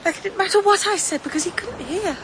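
A fragile, anxious young woman in a radio play, who speaks softly and at times in a whisper. Her voice is high. Clear outdoor ambience sounds behind her. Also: fast, a little hiss or hum. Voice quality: soft and fragile. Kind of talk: radio drama acting.

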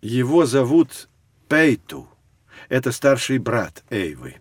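An actor playing a patient, knowledgeable guide, explaining things to children in measured storytelling for an educational audio drama. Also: male voice, mid-range voice, sing-song, husky, no room sound, studio quality, heavily acted. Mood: patient, informative. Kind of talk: scripted educational narration for children.

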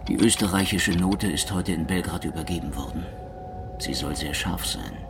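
A really deep voiced officer reading his letter home, measured, uneasy, music underneath. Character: devoted husband, sober naval officer writing home, uneasy. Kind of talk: letter read in character in a radio docudrama.

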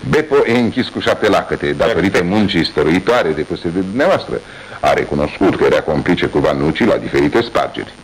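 Eager inspector in an old radio play, kinda low voice that swings around. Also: male voice, lively intonation, slight background noise, low-quality recording. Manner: eager, quick to agree. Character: eager male police inspector, admiring and impressed. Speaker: police inspector. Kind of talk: 1980s radio drama acting.

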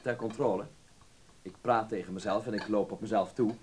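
An actor playing a bantering, slightly wistful young artist in a Dutch radio play, chatty in manner. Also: male voice, lowish voice, fairly even intonation, brisk, a little mellow, slight background noise, natural voice. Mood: friendly, candid.

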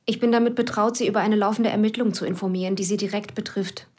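An actress playing a cool, calculating police investigator who talks tersely, with whispered inner asides. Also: female voice, mid-range voice, steady tone, fast talker, a little mellow, close to an everyday voice. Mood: cool, pressing. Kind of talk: radio play acting.

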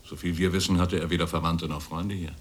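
An actor in a radio drama playing a sceptical police investigator, dry, probing and blunt. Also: male voice, low voice, steady tone, quick pace, husky. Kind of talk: German radio drama acting.

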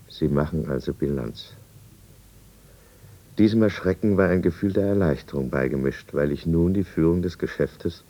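An actor playing a weary middle-aged businessman who narrates his decline in a 1950s German radio drama, measured and reflective. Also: very deep voice, warm tone.

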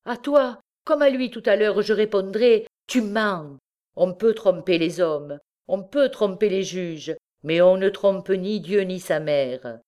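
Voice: mid-range voice, slow, few pauses, mellow, smooth, close to an everyday voice. A narrator voicing a distraught mother who pleads vehemently for her accused son in a dramatic storybook reading. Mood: vehement disbelief. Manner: vehement, imploring, exclamatory.

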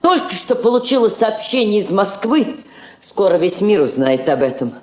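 An actress playing a dignified woman ambassador, speaking in a measured, heartfelt, declamatory way. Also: medium pitch, big pitch swings, darkish tone, lightly acted. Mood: dignified, assured. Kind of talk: solemn Soviet stage drama acting. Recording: roomy old drama recording.

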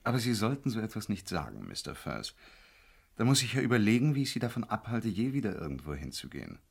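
Villain role: mocking, falsely courteous gangster, deep voice with heavy fry, commanding, radio thriller. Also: male voice, sing-song, fast, exaggerated voice. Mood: mocking threat. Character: smug, mockingly polite male gangster with a revolver. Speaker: actor playing the villain. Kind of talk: 1970s German radio crime drama acting.

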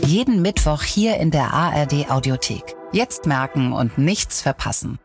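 A man's voice: fairly high pitch, animated, moderate pace, creaky. A man announcing a scripted promo for audio dramas. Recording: music behind the voice.

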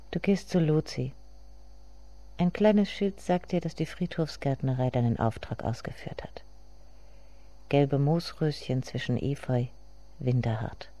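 A grieving grown daughter in a literary radio play. Her voice is dark and mellow, and she speaks to herself in a measured, intimate, brooding way, with bitterness underneath. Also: very deep voice.

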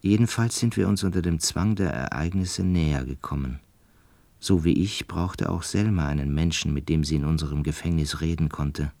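An actor playing a gentle, melancholic butterfly enthusiast in a radio drama, measured, reflective and hesitant, with a husky voice. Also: male voice, rumbling low, no room sound. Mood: resigned, reflective. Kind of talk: radio drama acting and first-person narration.

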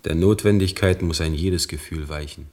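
An actor playing a grave government councillor, formal and measured, in a German radio drama. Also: male voice, deep voice, very noisy. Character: grave government councillor, loyal friend turned dutiful official.

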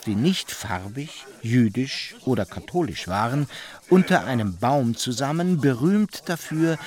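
Lively, wry male narrator. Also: medium pitch. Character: wry male storyteller of a satirical tale. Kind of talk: scripted radio-play narration.

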